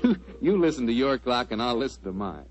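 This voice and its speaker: A man's voice: medium pitch, sing-song, brisk, a crowd in the background. An American man announcing in 1940s radio style, with folksy, salesmanlike advertising patter. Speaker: radio announcer and sponsor pitchman. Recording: dull, lo-fi 1940s radio recording.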